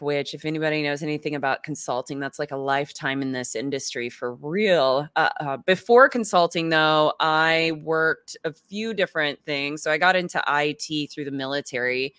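Podcast co-host, young adult woman, deep and smooth, energetic chat, dry close sound. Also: no room sound. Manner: energetic, fluent, frequent "like".

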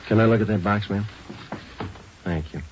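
An actor playing a terse, businesslike police sergeant in an old radio crime drama, clipped and deadpan, on a dull vintage recording. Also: male voice, fairly low pitch, moderate pace, a little hiss or hum, close to an everyday voice. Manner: clipped, deadpan, matter-of-fact. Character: terse, businesslike male police sergeant narrating his case. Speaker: police detective.

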